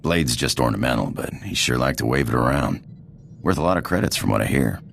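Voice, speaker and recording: A man playing a cocky, roguish space pirate in a video game, with a gravelly drawl, casual slang and a flirtatious swagger. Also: lively intonation, a little fast, fairly bright tone, a little hiss or hum, full character voice. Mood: smooth, flirtatious. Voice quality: gravelly, very deep voice. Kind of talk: video game character acting.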